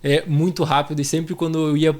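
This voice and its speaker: A man's voice: a little high, flat delivery, brisk. A man with a southern Brazilian gaúcho accent, hosting a podcast in an effusive, informal way and speaking affectionately to listeners. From southern Brazil (Rio Grande do Sul). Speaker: podcast host, entrepreneur.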